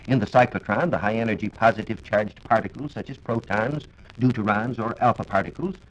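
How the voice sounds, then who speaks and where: A man's voice: fairly low pitch, breathy edge. An American man giving a formal, scripted explanation as a science reporter on 1940s radio. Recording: dull, lo-fi 1940s radio recording.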